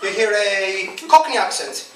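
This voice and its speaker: A man's voice: high voice, animated, unhurried, a little hiss or hum. A young man with a strong Greek accent doing a stand-up comedy set in a self-mocking, chatty way. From Greece. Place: comedy club stage. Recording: echoey club from far off, loud ambience. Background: audience laughter.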